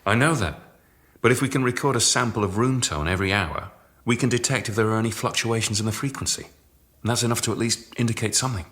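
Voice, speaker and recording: A man's voice: on the low side, quick pace, fairly bright tone. A man acting in a radio drama as a sardonic, grumbling audio scientist with a dry wit. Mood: methodical. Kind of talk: naturalistic radio drama dialogue.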